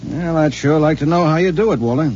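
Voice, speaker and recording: An actor playing a wry, joking middle-aged husband who wisecracks and sounds incredulous, in 1950s radio acting style. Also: male voice, fairly high pitch, fast, a little mellow, close to an everyday voice. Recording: dull 1950s radio recording, faint hiss.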